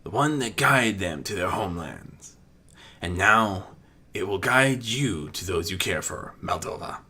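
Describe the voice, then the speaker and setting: A man reads a wise otherworldly male figure in a fantasy audiobook. He is calm and probing, with measured, solemn delivery and a bright edge to the voice. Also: sits low, breathy edge, exaggerated voice.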